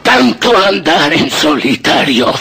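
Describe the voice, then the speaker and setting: A man's voice: on the high side, sing-song, slow pace, vocal fry, pushed and bright, faint music behind, full character voice. An actor playing a pious, cautious village man, speaking earnestly and theatrically in a radio drama. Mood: sorrowful, pious. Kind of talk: Spanish radio drama acting.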